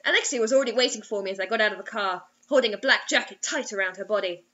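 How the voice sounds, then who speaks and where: A young adult woman giving an expressive, theatrical, mocking reading of a story on a webcam in a small room. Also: medium pitch, very fast, dull audio. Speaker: host reading bad fiction aloud.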